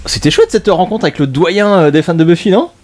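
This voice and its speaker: Lively chatty male podcast host. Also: high-pitched, brisk, slight background noise. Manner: relaxed, chatty, uses fillers. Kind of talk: podcast narration and interviewing.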